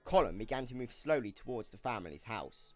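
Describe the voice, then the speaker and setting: A man's voice: medium pitch, big pitch swings, fairly quick, a little mellow, soft background music. A man narrating a scripted audio drama in a dramatic storytelling style.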